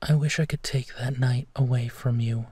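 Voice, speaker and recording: A man playing a gentle, protective werewolf who tenderly reassures his partner in an intimate bedtime roleplay, leaving long pauses. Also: medium pitch, fairly even intonation, breathy edge, dry recording, close to an everyday voice. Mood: reflective, soft. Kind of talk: intimate roleplay audio, soft bedtime comfort.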